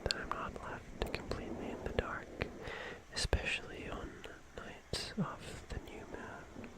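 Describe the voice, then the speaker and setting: An ASMR host speaking in a breathy whisper, telling an atmospheric roleplay story in a soothing manner and softly repeating words. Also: measured pace, poor audio.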